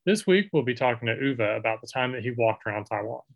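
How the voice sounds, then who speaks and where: A man's voice: medium pitch, animated, fast, gravelly, highs cut off. A man speaking as the host of an interview podcast.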